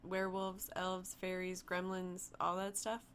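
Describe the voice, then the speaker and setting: A woman's voice: fairly low pitch, monotone, a little slow, fairly bright tone, a little hiss or hum. Woman reading an eager young crewman. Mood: curious. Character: curious young man on a fishing crew.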